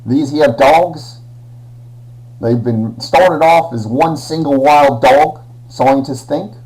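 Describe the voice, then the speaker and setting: Guy doing a slangy aussie accent for a science lesson, slow and mellow. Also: on the high side, rough recording. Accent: Australian (put on). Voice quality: slangy Aussie put-on, drawling. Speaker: educational presenter.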